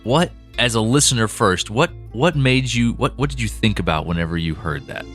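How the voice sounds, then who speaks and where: A man's voice: on the low side, lively intonation, moderate pace, a bit bright, faint music behind. A man co-hosting a podcast, chatting casually about a story's characters, laughing often and restarting sentences. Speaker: podcast co-host. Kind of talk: casual behind-the-scenes discussion.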